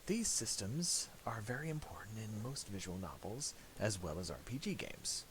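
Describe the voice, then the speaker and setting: A man narrating a casual software tutorial, thinking aloud in a halting way. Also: on the low side, very animated. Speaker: tutorial presenter. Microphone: voice-over for a screen recording. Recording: hissy amateur voice-over.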